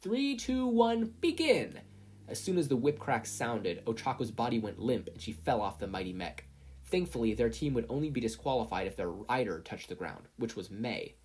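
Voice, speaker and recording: A woman's voice: very deep voice, lively intonation, a little fast, a little mellow, slightly rough. A woman narrating a scripted fan-fiction story as a podfic reader.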